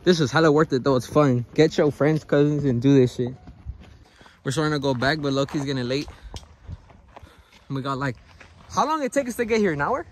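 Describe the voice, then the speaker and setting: A young man vlogging a hike with loud, slangy, joking talk, swearing and dropping Spanish phrases, filming on a phone held at arm's length outdoors. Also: fairly high pitch, expressive, fairly quick, long pauses. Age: young adult. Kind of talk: casual hiking vlog. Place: outdoors on a hillside trail and in a car. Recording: phone audio with clear background noise.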